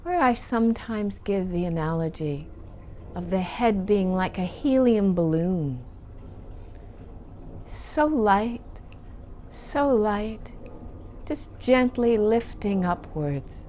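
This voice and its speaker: A woman leading a guided meditation as a teacher, speaking gently and soothingly and lingering on her phrases. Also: medium pitch, animated, slow pace, long pauses, slight background noise.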